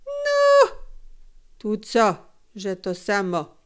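A woman's voice: a little high, sing-song, slow pace, voice pushed up. A woman voicing a phlegmatic, self-righteous male junk dealer haggling in an audiobook story, in sing-song phrases.

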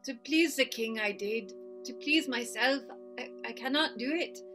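A woman's voice: fairly high pitch, music in the background, natural voice. An actress reading a grieving queen in a Shakespeare staged reading over a video call. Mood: sorrowful. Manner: sorrowful, slow declaiming. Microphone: video call webcam.

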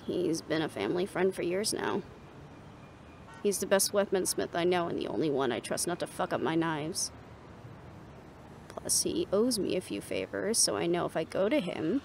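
A woman's voice: fairly low pitch, big pitch swings, normal speed, a little hiss or hum, rough recording. A woman playing a confident, teasing monster hunter in an audio roleplay, with a wry, coaxing manner. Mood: wry, affectionate. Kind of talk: intimate scripted audio drama acting.

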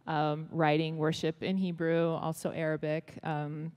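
A woman's voice: low-pitched, monotone, unhurried, darkish tone, smooth, highs cut off. A woman in her forties from Israel who speaks with an American accent, talking candidly on stage with many fillers. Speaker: ministry leader, guest. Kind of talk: onstage interview. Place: large auditorium stage. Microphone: handheld mic.

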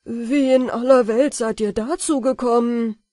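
A woman's voice: on the high side, steady tone, warm tone, no echo, clean studio sound, close to an everyday voice. A woman voicing a polite, wary fisherman who speaks in a deferential, astonished way, reading a storybook. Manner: deferential, astonished, slow and careful. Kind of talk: storybook character voice.

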